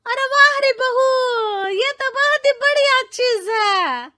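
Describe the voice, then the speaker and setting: Elderly village mother-in-law, very high sharp voice, scolding, melodramatic, cartoon storybook. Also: expressive, slow, exaggerated voice. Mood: naive, excited. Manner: loud wailing, exclaiming rustic talk.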